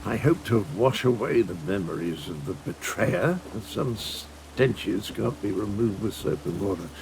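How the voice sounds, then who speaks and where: A man playing a flamboyant, eccentric undead gentleman, a former villain, in a video game, with a pompous and theatrical delivery. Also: on the low side, animated, measured pace, creaky, a little hiss or hum, poor audio. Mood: guilty, ashamed. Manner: pompous, florid, theatrical, swooping.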